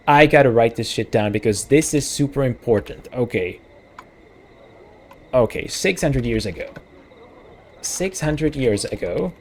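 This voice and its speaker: Let's-play host: a Czech-accented man of middle pitch, musing and correcting himself as he reads game dialogue aloud. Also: a little hiss or hum. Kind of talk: casual gameplay commentary, reading game text aloud.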